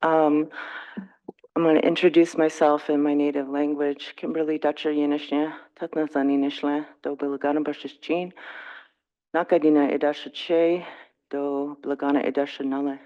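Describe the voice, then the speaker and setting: A woman from the Navajo Nation, a government relations advisor, introducing herself and her team to a council politely and calmly. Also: low voice, normal speed. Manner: polite, composed, a few ums. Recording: dull lo-fi meeting audio.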